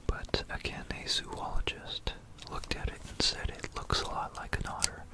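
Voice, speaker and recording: An ASMR narrator from Florida with an American accent whispers a musing, explanatory history talk close to a stereo microphone. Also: normal speed. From Florida, United States. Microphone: close stereo binaural microphone.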